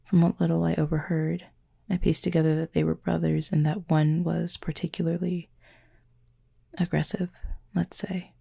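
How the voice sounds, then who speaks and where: A woman playing a gentle, formal, wounded cupid in an intimate audio roleplay, speaking softly in measured, breathy phrases. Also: sits low, little pitch movement, exaggerated voice. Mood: grave, measured. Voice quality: husky, breathy, creaky. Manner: measured, formal, soft-spoken with breathy pauses.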